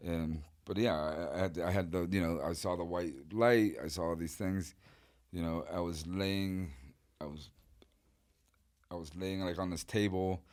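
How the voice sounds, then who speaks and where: Deep-voiced man telling stories. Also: fairly even intonation, darkish tone. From Chile. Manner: rambling, halting with many fillers. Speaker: guest artist. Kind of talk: casual interview storytelling.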